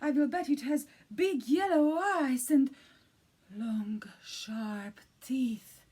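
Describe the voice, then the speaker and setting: A woman's voice: sits high, slow, mellow, husky, voice pushed up, full character voice. A woman voicing a timid, easily frightened elephant in a children's storybook reading. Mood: panicky. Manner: fearful, fretting.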